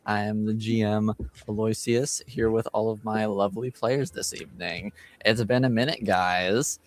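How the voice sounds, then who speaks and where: A man's voice: fairly low pitch, steady tone, moderate pace. A man hosting a tabletop game livestream as game master, chatting casually.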